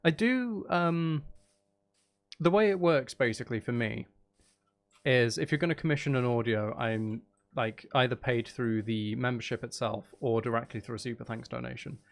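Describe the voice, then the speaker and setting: A man's voice: medium pitch, normal speed, creaky. A man hosting a live stream, chatting casually with viewers in a rambling, chatty way.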